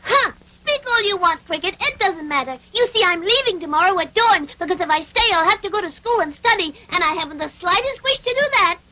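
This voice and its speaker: A woman's voice: extremely high pitch, expressive, brisk, hardly any pauses, bright, forceful tone, full character voice, low-quality recording. A woman voicing a defiant little wooden puppet boy in a cartoon dub, bratty and bossy. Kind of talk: animated cartoon dub acting.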